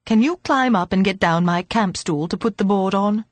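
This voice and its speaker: A woman reading a storybook voices a frail, grumpy old man who is gruff but kindly and speaks in a creaky, growling way. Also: mid-range voice, normal speed, dry recording, lightly acted. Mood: grumbling. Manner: grumbling, gruff.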